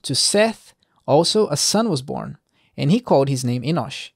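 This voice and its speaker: A man's voice: mid-range voice, big pitch swings, normal speed, slightly rough, no room sound, clean studio sound. A man hosting an informal Bible reading, commenting off the cuff in a conversational, digressing way and putting rhetorical questions to the listener.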